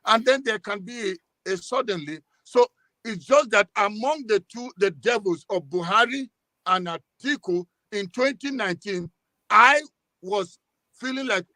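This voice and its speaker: A Nigerian-accented man speaking as a guest commentator in a live political discussion, emphatic, halting and repeating himself as he argues. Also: high voice, bright, forceful tone, dry recording.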